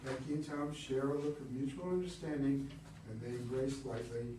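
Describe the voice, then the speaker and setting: A man's voice: mid-range voice, moderate pace. A man reading out stage directions as scripted narration at a play reading. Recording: echoey room, distant mic, noisy.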